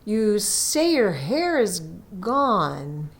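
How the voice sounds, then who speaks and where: Woman reading as a measured, earnest young husband. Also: mid-range voice, sing-song, full character voice. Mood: dazed. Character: gentle, earnest young husband, tender and calm. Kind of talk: storybook character reading.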